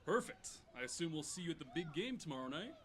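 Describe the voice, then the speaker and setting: Condescending school principal, lightish pitch, phony genial, measured. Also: male voice, sing-song, fairly bright tone. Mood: smug, genial. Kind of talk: audio drama dialogue.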